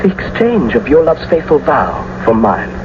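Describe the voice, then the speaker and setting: A man's voice: fairly high pitch, sing-song, normal speed. An actor playing an impetuous young nobleman in love, speaking with poetic fervor in a classical radio drama. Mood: longing. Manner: poetic, fervent, theatrical delivery. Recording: muffled, noisy old radio broadcast recording.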